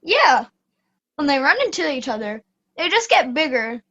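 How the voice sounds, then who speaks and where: A woman's voice: sits high, very animated, moderate pace, a bit bright, dull audio, exaggerated voice. A woman playing an odd, matter-of-fact storybook boy who happily eats dirt, in a children's radio play. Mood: unbothered. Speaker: student radio play actor.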